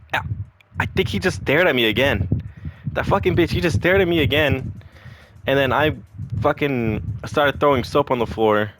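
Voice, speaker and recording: Gravelly young man venting profanely. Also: medium pitch, background noise. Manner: profane, rambling, animated retelling. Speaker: vlogger. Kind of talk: casual venting storytime.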